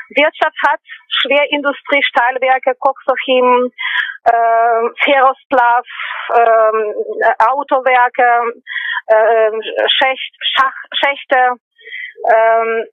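Woman, 40s, high voice, unhurried and hesitant, dry tinny phone sound. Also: dry recording. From Ukraine. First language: Russian. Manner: hesitant, many fillers. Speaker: speaker at a rally. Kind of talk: live talk over a phone link.

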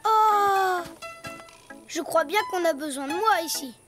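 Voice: extremely high pitch, takes it slowly, music in the background. A performer voicing a cheerful, proud little boy donkey in a preschool cartoon, with a childish, earnest singsong manner. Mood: proud, content.

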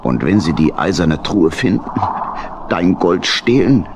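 An actor playing a good-hearted, cautious old badger uncle and boat captain in a children's audio play, earnest and deliberate. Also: male voice, low voice, big pitch swings, noisy background. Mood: worried. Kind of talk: German children's radio play acting.

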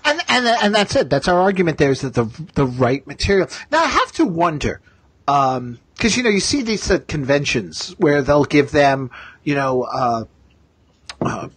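Male podcast co-host, fairly high husky voice, enthusiastic fan chat, stop-start phrasing, dry close sound. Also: very animated, normal speed, a bit bright, no room sound. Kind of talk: casual pop-culture discussion.

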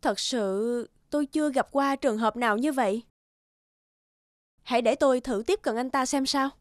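A woman voicing a calm, friendly female lawyer in a dubbed cartoon. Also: sits high, moderate pace, dry recording, clean studio sound, lightly acted. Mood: gentle. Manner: gentle, friendly.

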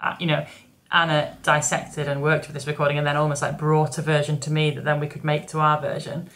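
A woman in her thirties, a folk musician, chatting in a lively, laughing way about her album on a video call from home. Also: sits low, brisk. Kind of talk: casual chat at an album listening session. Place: living room at home. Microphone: webcam on a video call, wearing headphones. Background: album playing loudly.

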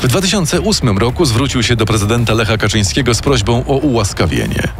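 A man narrates a true-crime story in a measured, dramatic radio style. His pitch moves a lot, and music plays clearly beneath his voice. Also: big pitch swings. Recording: narration over loud music.

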